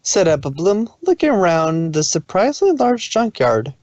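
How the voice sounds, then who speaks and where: A man's voice: sits high, big pitch swings, moderate pace, a little mellow, no echo, lo-fi sound. A man reading scripted fan fiction as the narrator of a dramatic story.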